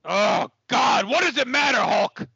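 A man playing an exasperated, bombastic wrestling company boss in a comic audio play, with a raspy, strained voice. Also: extremely high pitch, animated, unhurried, bright, forceful tone, no room sound, lo-fi sound, full character voice. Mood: irritated, scheming.

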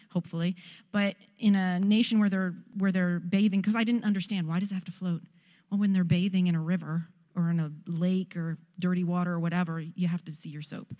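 A woman giving casual church announcements from the sanctuary, talking loosely with many ums and uhs. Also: medium pitch. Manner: chatty, warm filler-laden delivery with many ums. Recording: long hall reverb of a church.

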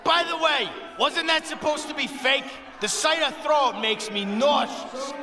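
An actor playing a crude, cranky, overbearing middle-aged uncle who complains loudly and coarsely in a live comedic radio play. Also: male voice, very high voice, measured pace, over music. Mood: irritated, bossy. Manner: loud, coarse, blustering complaining. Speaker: actor in an audio comedy drama. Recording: echoey hall with live audience.

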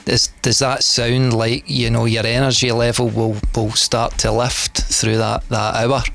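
Gravelly Scottish man chatting casually. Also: medium pitch, a little slow. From Scotland. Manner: relaxed, rambling, many fillers and restarts. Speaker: DJ and producer guest. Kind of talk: casual interview chat.

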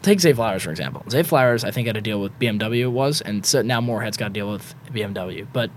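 A young man with a slightly raspy voice, talking in a quick, rambling way as a podcast guest. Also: lowish voice, animated, slight background noise. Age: young adult. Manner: quick, rambling, stacks filler words. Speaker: guest, student sports broadcaster. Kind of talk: casual podcast chat.

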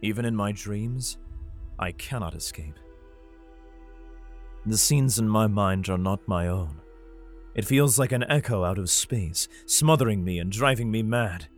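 A man narrating an audio drama in the first person as a weary father, measured and grim. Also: fairly low pitch, lively intonation. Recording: faint music behind.

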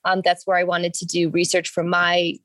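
Lower-pitched woman, dry close sound. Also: completely flat delivery, moderate pace, a bit bright, dry recording. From Sri Lanka. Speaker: panelist. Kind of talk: conversational advice panel.

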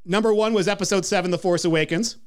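Guy hosting a movie podcast, high voice, clean and crisp, jokey. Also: steady tone, fast talker. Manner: playful, teasing, rattles off lists. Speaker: podcast host. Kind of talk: movie review podcast chat.

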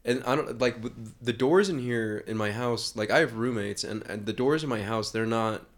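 A man's voice: medium pitch, moderate pace. Rambling young man on a podcast. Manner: loose and rambling, lots of "like". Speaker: podcast co-host. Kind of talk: casual podcast banter.

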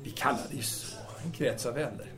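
A man's voice: medium pitch, fairly bright tone, soft background music, natural voice. An actor playing a self-assured, genial male intellectual in an audio drama, hemming and repeating himself in an avuncular manner. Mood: frank, self-assured. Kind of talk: Swedish audio drama dialogue.

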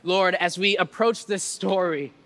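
Male preacher, bright forceful voice, informal and playful delivery, big echoing room. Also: high-pitched, unhurried. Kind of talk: sermon with casual humour and scripture reading. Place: large reverberant room before a live audience. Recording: long hall reverb.

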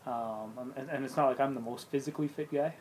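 A man in his late thirties, chatting easily with friends and filling his sentences with "you know" and "yeah". His pitch sits in the middle, with faint ambient noise in the background. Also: steady tone, brisk, a little mellow. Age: 30s. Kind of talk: casual chat.

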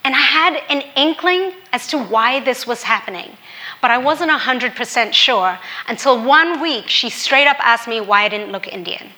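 A woman's voice: on the high side, animated, moderate pace, pushed and bright. A young woman from Hong Kong telling a personal story in an earnest, candid, reflective way.

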